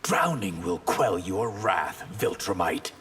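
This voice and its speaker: A man voicing a cold, menacing shapeshifting water sorcerer in a fighting game, deliberate and disdainful. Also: low voice, big pitch swings, a bit bright, breathy edge, full character voice. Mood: cold menace. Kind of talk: video game fight intro banter.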